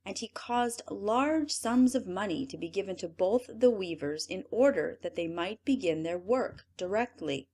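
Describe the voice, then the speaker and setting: Expressive woman reading a fairy tale. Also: medium pitch, a little slow, hardly any pauses. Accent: native English. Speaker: audiobook narrator. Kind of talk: storybook narration.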